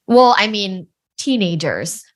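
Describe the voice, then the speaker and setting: A woman's voice: mid-range voice, very animated, slow pace. A woman from Thailand whose first language is Thai, a guest telling stories in a casual interview. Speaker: guest, relationship researcher.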